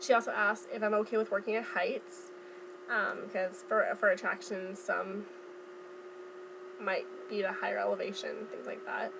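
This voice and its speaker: A young woman chatting casually to viewers in a vlog, speaking informally and haltingly as she recalls things. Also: mid-range voice, level tone, creaky, noisy background. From Southern California. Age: young adult. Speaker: vlogger. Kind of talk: casual chat vlog, recounting from memory.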